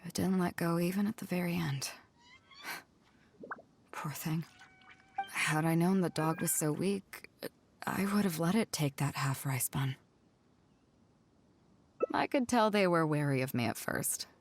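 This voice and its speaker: A woman's voice: fairly low pitch, slow, pauses often, a little hiss or hum. An actress voicing a proud, tough adult pirate ship captain in a game cutscene, telling her past in a wistful, wry, confident way. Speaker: game character. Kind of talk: dubbed video game cutscene dialogue.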